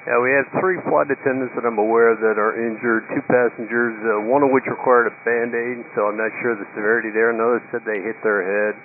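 A man's voice: mid-range voice, steady tone, brisk, hardly any pauses. A man working as an airline pilot, speaking matter-of-factly to the tower over aircraft radio. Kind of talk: air traffic radio communication. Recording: muffled narrowband radio with static.

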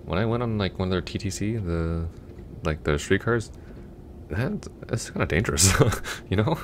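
A man's voice: lively intonation, normal speed, slight background noise. A young man playing a chatty, goofy, affectionate long-distance boyfriend in a roleplay audio, talking casually in a rambling, hesitant way. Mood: chatty, mildly exasperated. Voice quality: very deep, gravelly.

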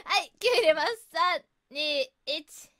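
Very high-pitched, bright woman directing casually. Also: very animated, takes it slowly, dry recording. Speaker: voice drama director. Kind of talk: casual rehearsal chat, giving direction.

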